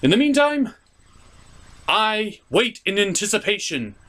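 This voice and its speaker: A man's voice: high voice, big pitch swings, measured pace, fairly bright tone. A man hosting a video review, giving enthusiastic, theatrical commentary with emphatic, stretched-out words.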